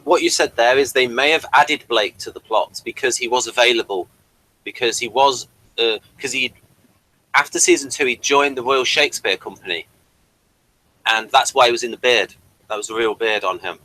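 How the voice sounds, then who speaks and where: Bright-voiced man, halting and rambling. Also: medium pitch, dry recording, poor audio. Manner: halting, rambling, repeats and restarts phrases. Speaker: podcast co-host. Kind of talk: casual fan discussion with behind-the-scenes trivia.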